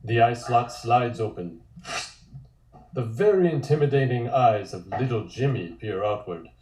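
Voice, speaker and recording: A man's voice: mid-range voice, animated, a little slow, a little mellow. A man reading a comic script aloud as narrator. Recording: echoey room.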